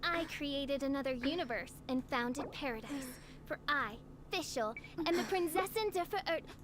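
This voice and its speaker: A woman's voice: high-pitched, lively intonation, moderate pace, noisy background. A woman voicing grandiose young fantasy heroines in declamatory video game battle lines. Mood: grand, commanding. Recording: loud game music underneath. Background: game music.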